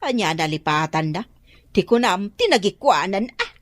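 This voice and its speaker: A woman's voice: mid-range voice, big pitch swings, normal speed, no room sound, close to an everyday voice. An actress in a radio drama playing a pushy middle-aged village wife who talks neighbors into lending her money, chatty and wheedling.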